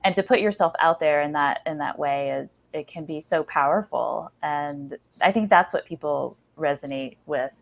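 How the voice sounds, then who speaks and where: A woman's voice: low-pitched, moderate pace, vocal fry, few pauses. A woman hosting a podcast in earnest, reflective conversation, building long thoughts and rephrasing as she goes. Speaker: podcast host.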